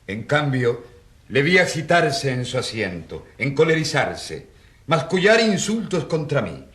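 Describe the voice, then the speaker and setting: A country priest in a radio play, earnest and defiant. His mid-pitched voice is solemn yet moves widely as he defends himself. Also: very animated, measured pace, a bit bright. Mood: earnest recollection. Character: earnest, defiant rural priest standing accused. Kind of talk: radio drama acting.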